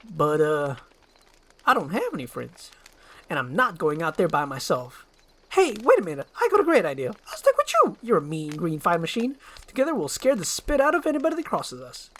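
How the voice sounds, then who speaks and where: A woman's voice: on the low side, wildly swooping pitch, brisk, a little hiss or hum, voice pushed up. A woman voicing a talkative, needy male donkey, eager and rambling, in an amateur screenplay performance. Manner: chatty, eager, rambling, wheedling.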